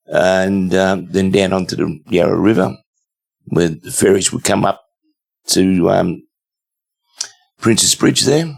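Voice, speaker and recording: Gravelly old Australian man. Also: low-pitched. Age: 70s. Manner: unhurried, rambling with many fillers. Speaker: guest. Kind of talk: casual storytelling interview.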